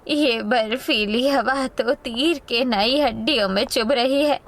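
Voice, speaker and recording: Woman as poor homeless family woman, high voice, anxious, caring, plaintive, melodramatic story acting. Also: slow, a bit bright. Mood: sorrowful.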